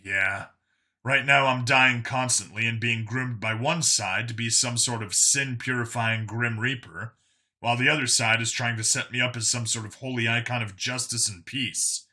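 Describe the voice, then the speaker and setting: A grimly sardonic lawman in an audiobook voiced by a male narrator. His middle-pitched voice is hard and bright, and he speaks flatly and bluntly, without frills. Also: moderate pace. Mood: grim, resigned. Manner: flat, blunt, deadpan.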